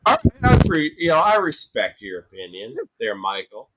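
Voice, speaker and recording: A man in his thirties chatting as a guest on a remote call, animated, laughing often and swearing casually. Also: medium pitch, moderate pace, very noisy. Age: 30s. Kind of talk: casual chat.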